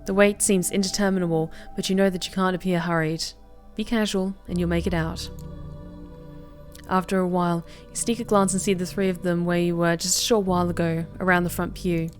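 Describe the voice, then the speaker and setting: A young woman streamer reading a visual novel aloud, with expressive bursts of excited commentary. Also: lowish voice, a little fast, soft background music. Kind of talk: game narration with live reactions.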